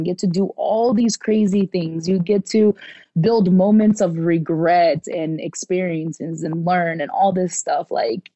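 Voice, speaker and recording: A woman in her twenties, a podcast guest in casual chat, animated and swearing now and then as she acts out what people said. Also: lowish voice, no room sound. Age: 20s.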